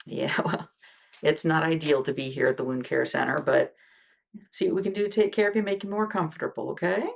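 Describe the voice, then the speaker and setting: Woman, low gravelly voice, as a reassuring clinician in ASMR exam roleplay; soft, with whispered asides. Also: brisk. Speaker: ASMR roleplay creator playing a wound care clinician.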